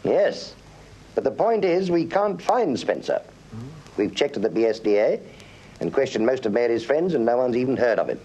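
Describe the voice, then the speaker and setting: Senior British policeman, lively intonation, mellow tone, courteous but commanding, radio detective drama, slightly muffled recording. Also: medium pitch, normal speed. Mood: businesslike. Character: senior police chief, gentlemanly, authoritative, affable. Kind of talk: full-cast radio crime drama acting.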